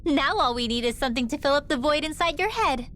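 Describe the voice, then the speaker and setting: A woman's voice: sits high, lively intonation, quick pace, a bit bright, a little hiss or hum. A woman voicing a spirited, cheeky young girl companion in a video game, with an eager manner. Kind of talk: video game dramatic acting.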